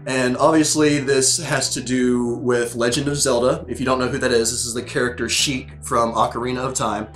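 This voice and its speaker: A man's voice: medium pitch, little pitch movement, normal speed, hardly any pauses, a bit bright, soft background music. Scratchy-voiced man rambling casually. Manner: loose and chatty, many fillers and restarts. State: scratchy sore throat, losing voice. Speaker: host reviewing episodes. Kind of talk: casual rambling commentary to camera.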